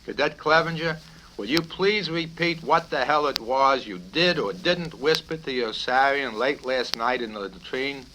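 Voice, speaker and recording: A man's voice: medium pitch, measured pace, voice pushed up, lightly acted. Man doing a blustering, irate colonel, noisy vinyl. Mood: menacing. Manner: blustering, shouting, badgering with questions. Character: bullying, irate, foul-mouthed male military colonel. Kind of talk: author's reading of a satirical novel. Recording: noisy 1970s vinyl record with clicks.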